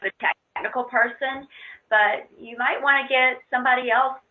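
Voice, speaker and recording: A woman presenting on an instructional conference call, explaining things informally and somewhat haltingly. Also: sits high, fairly even intonation. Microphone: conference call line. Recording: muffled narrow-band conference phone line.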